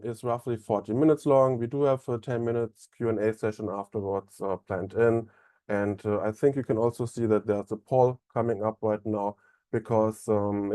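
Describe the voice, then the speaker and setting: A young man with a German accent presenting a software webinar in a relaxed way, with many fillers and restarts. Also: mid-range voice, dry recording, studio quality. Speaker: onboarding specialist presenting a webinar.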